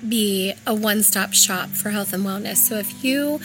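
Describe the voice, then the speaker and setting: Raspy woman, hoarse with laryngitis. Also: mid-range voice, moderate pace, fairly bright tone, a little hiss or hum. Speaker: health food store co-owner. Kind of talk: informal interview explanation.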